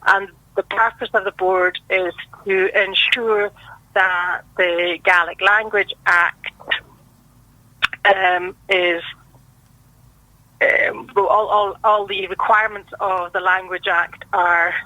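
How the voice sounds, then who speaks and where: A Scottish woman, a guest on a radio interview, explaining in a measured, patient way. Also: medium pitch, fairly even intonation, sharp tone, no room sound, lo-fi sound. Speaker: guest from a language board.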